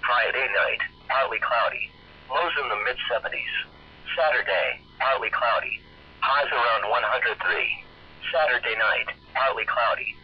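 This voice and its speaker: A synthetic male voice reading an automated weather forecast in a stilted, evenly measured way, as a weather radio announcer. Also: mid-range voice. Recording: muffled lo-fi radio with clear noise.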